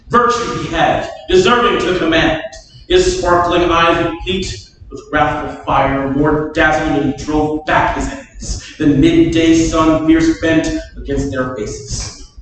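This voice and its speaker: Distant and swimming in echo, a proud, combative English nobleman declaims Shakespearean verse , acted by a man. The delivery is slow and deliberate, with faint noise behind. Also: fairly high pitch, fairly bright tone. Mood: stately praise. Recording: very echoey room from far off, faint noise.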